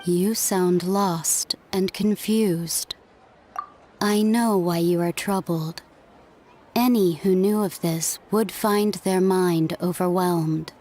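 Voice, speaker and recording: Enigmatic game figure: unseen speaker of fate, fatalistic, calm, solemn, very unhurried. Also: female voice, lowish voice, slight background noise. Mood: solemn, knowing. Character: mysterious unseen female voice, calm, cryptic, fatalistic. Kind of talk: video game voice acting.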